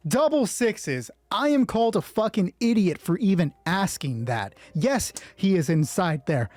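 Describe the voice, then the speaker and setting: Higher-voiced man narrating a solo roleplay game. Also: sing-song, unhurried, darkish tone, no room sound. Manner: informal, swearing, thinking aloud during play. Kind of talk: hushed scripted story narration alternating with casual game commentary. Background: music.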